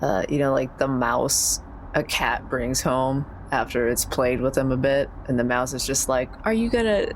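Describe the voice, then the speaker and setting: A woman's voice: rumbling low, moderate pace, a little hiss or hum, rough recording. A woman playing a tabletop roleplaying game, chatting informally and thinking aloud as she goes. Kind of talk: casual in-game chat.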